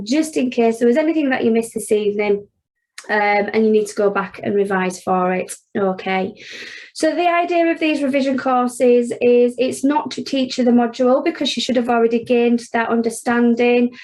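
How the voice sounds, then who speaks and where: A woman's voice: on the high side, a little mellow. A woman with a Yorkshire accent, an accounting tutor leading a live online revision class, talking casually and filling gaps with "okay" and "um". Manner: chatty, frequent "okay" and "um", talks students through steps.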